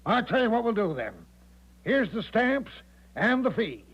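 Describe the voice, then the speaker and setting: An actor playing a big, bluff, overconfident American major who breezily sweeps objections aside in a 1970s radio drama. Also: male voice, very high voice, big pitch swings, unhurried, breathy edge, heavily acted. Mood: breezy, insistent. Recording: dull vintage radio recording.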